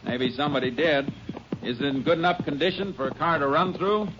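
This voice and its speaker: An actor playing a calm, shrewd Texas Ranger in a 1950s radio drama, speaking in a terse, deliberate way. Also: male voice, on the high side, close to an everyday voice. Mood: purposeful. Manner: terse, deliberate, matter-of-fact. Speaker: lead actor and narrator. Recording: noisy, muffled 1950s radio recording.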